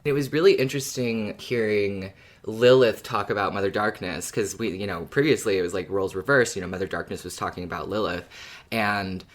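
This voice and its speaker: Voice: low voice, brisk. A podcast host who chats casually about a story in a fan discussion and laughs often.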